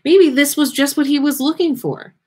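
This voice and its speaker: A woman's voice: sits high, moderate pace, a little mellow. A woman reading a story aloud expressively, with theatrical emphasis and the occasional stumble. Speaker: podcast host reading listener fan fiction aloud.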